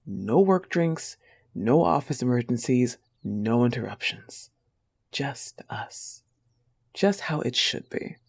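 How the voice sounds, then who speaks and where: A young woman playing an affectionate, possessive, teasing wolf-girl girlfriend in an intimate roleplay audio, tender and often breathy. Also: extremely low pitch, expressive, takes it slowly, a little mellow, full character voice. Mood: possessive, cozy.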